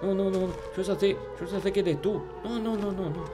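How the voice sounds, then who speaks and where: A man's voice: high-pitched, fairly quick, over music, close to an everyday voice. A man voicing a childish, clueless, grumpy little game character, stammering and repeating himself in a Minecraft roleplay. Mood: indignant. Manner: babyish, stammering, repetitive, easily flustered. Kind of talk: improvised Minecraft roleplay narration.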